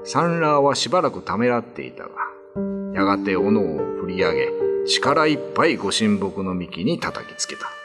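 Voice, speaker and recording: A man's voice: on the low side, very animated, moderate pace, loud music behind the voice. A man giving grave, solemn narration of a scripted ghost story as a dramatic storyteller.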